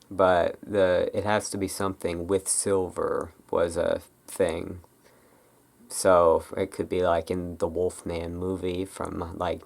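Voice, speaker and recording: Low, slow, creaky ASMR voice. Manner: relaxed, rambling, halting and musing. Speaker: ASMR video creator. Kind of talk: ASMR, unscripted rambling about folklore.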